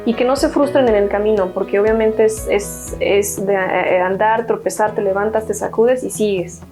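A young woman with a Mexican accent tells her own story in an earnest, chatty way, stumbling and restarting as she goes. Her voice sits at a middle pitch. Soft music plays faintly underneath. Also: fairly even intonation, moderate pace. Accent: Mexican Spanish. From Mexico, Hidalgo state. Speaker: university graduate interviewee. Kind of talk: personal testimony.

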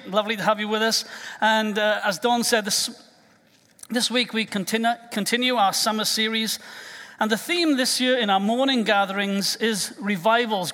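A man's voice: sits high, pushed and bright, big-room sound. A man with a Welsh accent, a native Welsh speaker, preaching a sermon as pastor in a conversational way, often restarting his words. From Wales. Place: church sanctuary.

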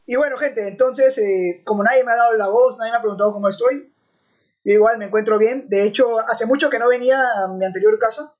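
A woman's voice: on the high side, fast, a little mellow. A young woman, hosting a podcast in a friendly, conversational way. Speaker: podcast host. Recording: dull lo-fi sound.

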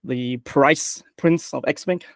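A young German man with a German accent, talking casually on a podcast. He chats in a jokey way, laughs often and repeats his words now and then. His voice sits at an average pitch and sounds dry and close, with little room sound. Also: slow, no echo. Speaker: podcast guest. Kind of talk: casual chat.

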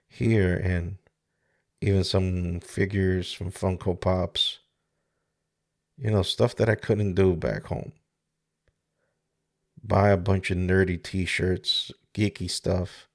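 A man in his forties from the Dominican Republic reflects aloud on his own podcast, speaking into a good studio microphone. His voice sits deep and flat, and it drags with gravel as he moves slowly through halting, searching thoughts. He pauses and restarts often. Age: 40s. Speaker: podcast host. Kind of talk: reflective solo podcast monologue. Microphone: studio microphone with mixer.

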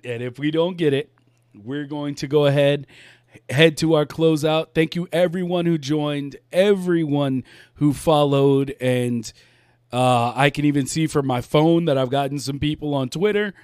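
Higher-pitched laid-back male stream host. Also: fairly even intonation, measured pace, a little mellow, clean tone, no echo, studio quality. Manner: laid-back, laughing, repeats words often. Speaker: podcast host. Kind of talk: casual live-stream chat.

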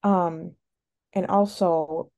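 A sniffly woman chats casually as a podcast co-host, rambling and frank. Her voice sits on the low side with plenty of gravelly fry, and she takes her time getting the words out. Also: fairly even intonation, very slow, a little mellow, dull audio. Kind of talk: casual chat.

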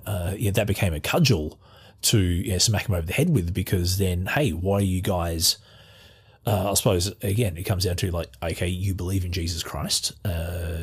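An Australian man hosting a casual interview, talking in a halting way with many restarts as he searches for words. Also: deep voice, husky.

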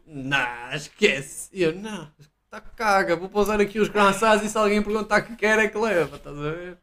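A young man chatting casually as a podcast co-host, agreeable and quick with jokes. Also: sits high, expressive, fairly bright tone. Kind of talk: casual chat.

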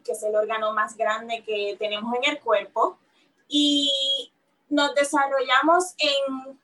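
A Puerto Rican woman talks about her work on a radio show, pausing and restarting often. Her voice sits a little high and sounds bright and sharp. She is heard from some distance from the microphone. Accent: Puerto Rican Spanish. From Puerto Rico. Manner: hesitant, many fillers and restarts. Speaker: guest aesthetician. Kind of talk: radio interview.

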